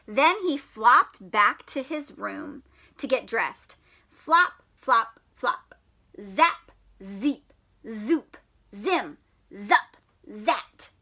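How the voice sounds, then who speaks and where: A woman reading a children's picture book aloud like a teacher, expressive with drawn-out words and playful questions to her young listeners. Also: on the high side. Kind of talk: animated storybook read-aloud with questions to children.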